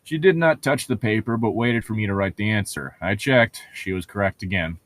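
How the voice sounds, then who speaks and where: A man's voice: on the low side, a little fast, fairly bright tone, dry recording. A man reading aloud casually and breaking off for sarcastic, sweary commentary as co-host of a comedic read-along.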